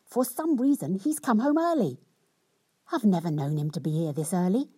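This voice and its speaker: A woman voicing a cunning, theatrical young wife who berates her husband in a loud, indignant, sweary tirade. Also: medium pitch, lively intonation, normal speed, slightly rough, no echo, studio quality. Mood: panicked.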